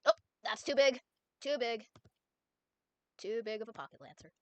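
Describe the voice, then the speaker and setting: Giggly woman with a lively, bouncy voice. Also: on the high side, moderate pace, dry recording. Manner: giggly, playful, swears casually. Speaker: streamer host. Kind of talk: casual stream chat, reading a fanfic summary aloud.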